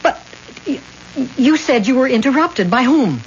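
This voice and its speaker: An actress playing a cold, greedy, scornful mistress in a radio drama, sharp, demanding and contemptuous. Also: female voice, sits high, lively intonation, moderate pace. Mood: urgent, probing. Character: cold, greedy, scornful mistress goading her lover to murder. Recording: dull, hissy old radio recording.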